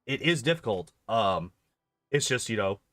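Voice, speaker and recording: Bright-voiced man rambling casually on stream. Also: medium pitch, lively intonation, normal speed, dry recording. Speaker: game streamer and video host. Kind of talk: casual rambling livestream chat.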